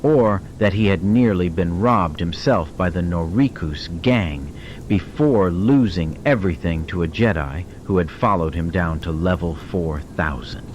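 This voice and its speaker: A man's voice: deep voice, a little slow, slight background noise, poor audio. A man reading scripted audiobook fiction as a dramatic narrator.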